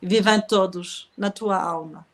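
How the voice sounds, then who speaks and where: A woman author talking gently and reflectively as an interview guest, speaking with a non-native accent and a lingering cough. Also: medium pitch, steady tone, slow pace, a little hiss or hum. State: has a cough. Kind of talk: conversational interview, reading aloud.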